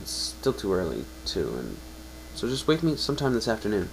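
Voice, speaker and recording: An easygoing young stallion played by a man talks in a low voice, confident and casual. It is dialogue from a fan-made cartoon story reading, with a faint hum under it. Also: huge pitch swings, a little fast, vocal fry, full character voice, poor audio. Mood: sleepy, polite. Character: confident, easygoing young male pony from the city. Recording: home recording with faint hum.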